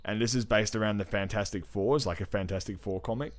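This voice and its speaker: A man hosting a podcast, chatting casually and telling stories in an animated, slangy, sweary way. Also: on the low side, a little fast. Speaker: podcast host. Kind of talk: casual chat about comics.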